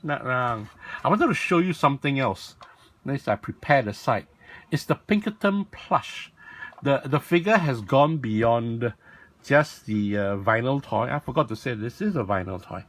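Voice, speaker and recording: A man with a raspy voice, talking casually and rambling as he shows off a toy in a video. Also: mid-range voice, expressive, a little slow, fairly bright tone. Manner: rambling, self-correcting, lots of fillers. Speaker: toy blogger and vlog host.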